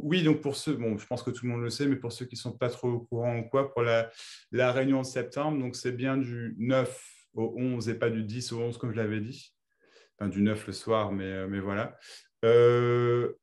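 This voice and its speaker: A man's voice: medium pitch, darkish tone. A man who grew up in Belgium, hosting a video-call meeting and chatting casually, rambling with many restarts. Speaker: meeting host.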